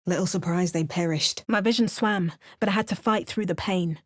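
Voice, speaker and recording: A woman voicing a wry, watchful female adventurer for a video game. She makes understated remarks and narrates events calmly, like a storybook. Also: on the low side, normal speed, no echo, clean studio sound, natural voice. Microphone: close studio mic.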